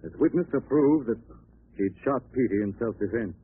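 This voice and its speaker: An actor playing a composed gentleman detective in a 1940s radio drama, measured and assured as he explains things. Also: mid-range voice, animated, breathy edge. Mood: calm, assured. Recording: narrow-band, faintly noisy old radio broadcast.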